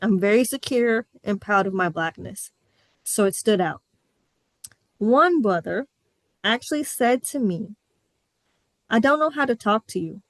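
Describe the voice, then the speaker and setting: A woman's voice: mid-range voice, lively intonation, measured pace, long pauses, dry recording, low-quality recording. Young woman podcaster from the midwest, sassy and candid, dry close mic. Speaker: podcast host. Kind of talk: casual podcast chat.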